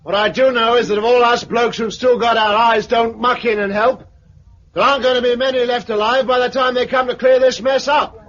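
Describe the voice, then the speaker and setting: High-pitched, angry British agitator. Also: male voice, fairly even intonation, brisk. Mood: furious, scornful. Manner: forceful, accusing, haranguing. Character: angry, defiant male agitator championing the helpless blind. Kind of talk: 1950s radio drama acting. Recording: muffled vintage radio with loud crowd.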